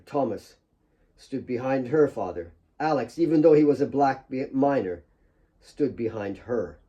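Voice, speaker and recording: A man's voice: medium pitch, warm tone, lo-fi sound. A man reading his own fiction as a dramatic audiobook narrator.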